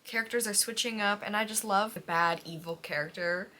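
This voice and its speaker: A woman's voice: mid-range voice, brisk, pushed and bright. A young adult woman chatting casually as a book vlogger, dramatic and slangy, speaking with emotive exaggeration. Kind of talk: casual reading vlog, confiding chat to camera. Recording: noticeable background noise.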